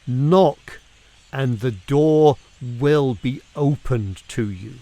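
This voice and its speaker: A man reads scripture aloud in a measured, deliberate way, using pauses and emphasis for dramatic effect. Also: mid-range voice, dark tone. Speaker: reader of scripture.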